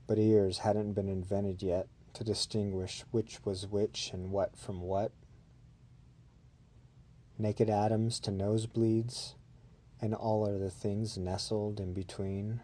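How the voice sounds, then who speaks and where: A man's voice: lowish voice, dark tone. A man reading his own poem in an understated, matter-of-fact way. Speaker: poet.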